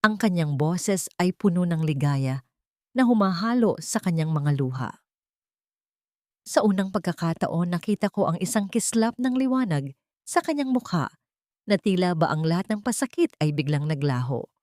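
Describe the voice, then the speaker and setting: A woman's voice: lowish voice, very animated, moderate pace, darkish tone, no echo, studio quality. A woman reading a scripted first-person story in a dramatic storytelling style. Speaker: story narrator.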